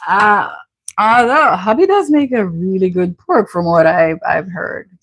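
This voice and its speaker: A woman's voice: mid-range voice, animated, unhurried, slight background noise. A middle-aged woman with a Jamaican accent chats casually and candidly as she hosts a home cooking vlog, with a playful manner. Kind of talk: casual chatty cooking commentary. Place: home kitchen.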